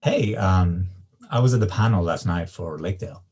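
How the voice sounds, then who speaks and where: An actor at a table read playing a shy, gentle young student in a hesitant, understated way. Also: male voice, sits low, normal speed, breathy edge. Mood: hesitant, friendly. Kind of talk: screenplay table read, naturalistic dialogue.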